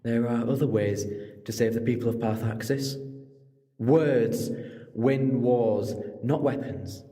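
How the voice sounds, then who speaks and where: An actor playing a moral, commanding time-travelling alien hero in a sci-fi audio drama, with earnest, dramatic phrasing. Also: male voice, mid-range voice, slow, dark tone, lightly acted. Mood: solemn, reproachful. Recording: long reverberant hall, music behind.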